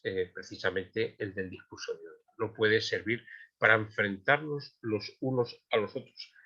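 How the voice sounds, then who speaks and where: A man's voice: on the low side, sharp tone, lo-fi sound. A man making an emphatic argument as a guest in an online panel, with many fillers.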